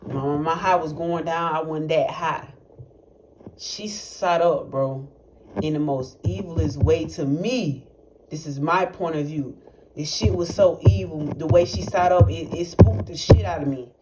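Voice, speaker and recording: A man's voice: fairly high pitch, measured pace. A young man speaking tells a personal testimony in casual, rambling, profane talk, full of fillers and restarts. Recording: noisy recording with clicks.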